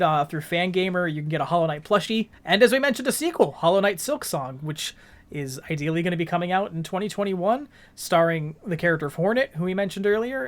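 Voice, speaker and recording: Higher-voiced male gaming podcast co-host. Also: fairly bright tone. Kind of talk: casual gaming discussion.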